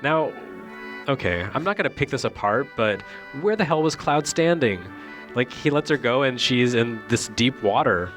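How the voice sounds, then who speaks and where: A man's voice: medium pitch, animated, moderate pace, a bit bright, soft background music. A man giving casual, joking, rambling commentary on video game cutscenes in a sardonic way. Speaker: reviewer and co-host.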